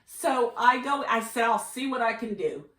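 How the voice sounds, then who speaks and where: A woman's voice: a little high, normal speed. A middle-aged woman podcast host casually telling travel anecdotes, animatedly acting out the dialogue as she goes. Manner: animated retelling with quoted dialogue. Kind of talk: casual conversational storytelling. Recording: roomy echo with clear background noise.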